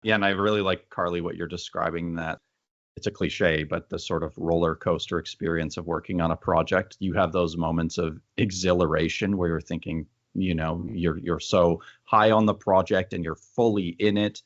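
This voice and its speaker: A man's voice: deep voice, fairly even intonation, normal speed, dry recording. A man chatting casually and candidly as an interviewer, thinking aloud about creative work. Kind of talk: casual reflective conversation. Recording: dull, dry lo-fi audio.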